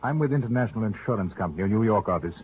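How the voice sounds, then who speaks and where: A tough, curt investigator as a male actor plays him in old-time radio drama. His delivery is blunt but lively in pitch, heard on a tinny, faintly noisy recording. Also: very fast, lightly acted. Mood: stern. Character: brusque, hard-nosed American insurance investigator. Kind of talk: 1940s-50s radio drama acting.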